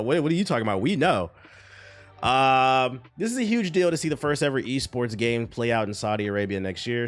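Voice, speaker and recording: A man with a stuffy, congested voice, reading news aloud and chatting casually on a live stream. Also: mid-range voice. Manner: casual, reads news aloud then riffs on chat. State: congested from a cold.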